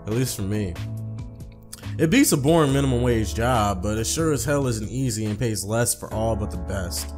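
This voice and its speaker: A man's voice: fairly low pitch, lively intonation, moderate pace, darkish tone, faint music behind. A man hosting a gaming video, reading story text aloud in an informal, slangy way with casual commentary. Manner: slangy, informal, repeats phrases when misreading.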